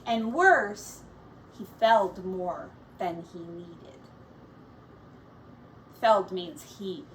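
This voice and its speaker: A woman teacher reading a children's picture book aloud expressively, pausing to explain words and comment on the pictures. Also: medium pitch. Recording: distant mic with faint background noise.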